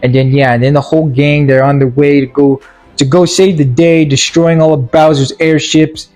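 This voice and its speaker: A man giving a casual, rambling film review in slangy, informal language, halting and repeating words, with sarcastic asides. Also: on the high side, flat delivery, darkish tone, faint music behind. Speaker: movie reviewer.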